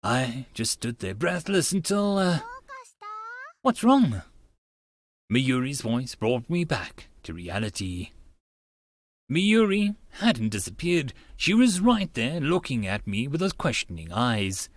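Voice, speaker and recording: Audiobook narrator, first-person story reading, theatrical, lively swooping intonation, dry recording. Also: medium pitch, measured pace, no room sound. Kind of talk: dramatic first-person reading of a visual novel.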